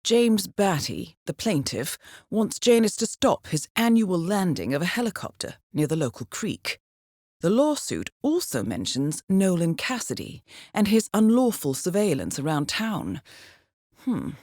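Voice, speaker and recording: An actress playing a composed, shrewd intelligence handler in a video game, giving mission briefings in a measured, precise and dryly wry way. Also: female voice, fairly low pitch, very animated, darkish tone, no room sound, clean studio sound, exaggerated voice. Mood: composed, intrigued.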